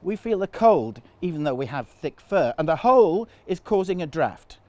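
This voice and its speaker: A man's voice: on the high side, very animated, normal speed, darkish tone, no echo. A man voicing a serious little bunny with an earnest, formal, precise way of talking, in a storybook. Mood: politely stern.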